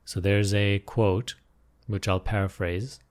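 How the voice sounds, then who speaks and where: A man leading an unscripted guided meditation as a teacher, giving gentle, unhurried instructions with pauses and repeated phrases. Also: fairly low pitch, little pitch movement.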